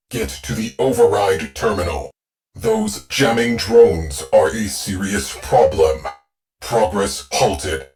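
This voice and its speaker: A game's terse ship AI, deep and slow, dry deadpan orders, faint music under. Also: male voice. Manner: clipped, deadpan tactical briefings. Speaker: ship's onboard AI. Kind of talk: video game voice lines.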